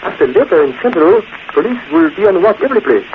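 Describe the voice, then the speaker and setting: Anxious high-voiced manservant. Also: male voice, very animated. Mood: anxious, urgent. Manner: halting, polite, broken English. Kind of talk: 1940s radio acting. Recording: tinny, noisy 1940s radio recording.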